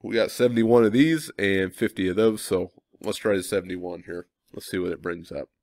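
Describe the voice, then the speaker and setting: Stuffy-nosed farmer talking viewers through. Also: male voice, deep voice, normal speed, a little mellow, dry recording, clean studio sound. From Midwestern United States. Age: young adult. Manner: thinks aloud with many ums and self-corrections. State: stuffy nose from a cold. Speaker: farmer vlogger. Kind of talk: casual walkthrough talk to viewers.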